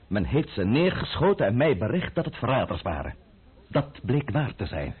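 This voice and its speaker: An actor playing an imperious, scheming, middle-aged French count in a radio play, husky-voiced, haughty and lecturing. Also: male voice, mid-range voice, huge pitch swings, moderate pace, heavily acted. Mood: commanding, wry. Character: imperious, scheming French count and royalist intriguer, impatient, sardonic. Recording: muffled, narrow-band old radio recording.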